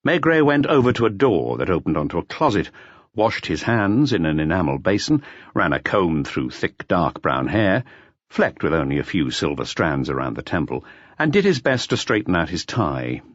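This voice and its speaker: A man's voice: low-pitched, sing-song, moderate pace, no room sound, lo-fi sound. A man reading a mystery novel aloud as scripted literary audiobook narration.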